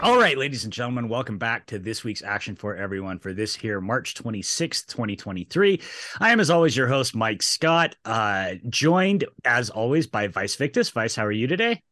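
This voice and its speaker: A man hosting a casual podcast, running the intros in a brisk, organized way. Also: medium pitch, sing-song, sharp tone, no room sound, faint music behind. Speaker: podcast host.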